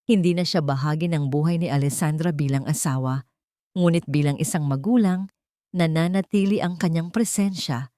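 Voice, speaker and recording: A woman with a Filipino accent narrating a scripted dramatic story in a measured, emotive way. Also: deep voice, dark tone, no room sound, clean studio sound.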